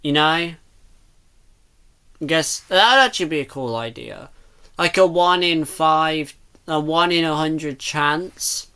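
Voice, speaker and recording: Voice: mid-range voice, takes it slowly, pauses often, sharp tone, smooth. A person giving casual, rambling reaction commentary, thinking aloud and speculating as the host.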